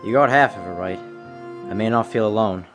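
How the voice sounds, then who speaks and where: An actor plays a young man of the Old West with a fairly low voice and clear music underneath. He sounds sincere and reflective, with a touch of sadness, as in a radio drama. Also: a little fast, lightly acted. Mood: wistful. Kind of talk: western audio drama acting.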